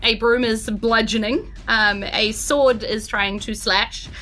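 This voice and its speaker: A woman hosting as dungeon master, narrating a tabletop game recap in an excited way. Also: fairly high pitch, takes it slowly.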